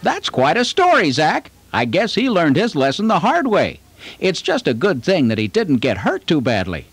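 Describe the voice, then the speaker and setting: A man's voice: a little high, huge pitch swings, normal speed, a bit bright, faint music behind, full character voice. A man playing an earnest children's puppet show host who teaches fire safety directly to young viewers. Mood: relieved, reflective. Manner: instructive, addressing children directly.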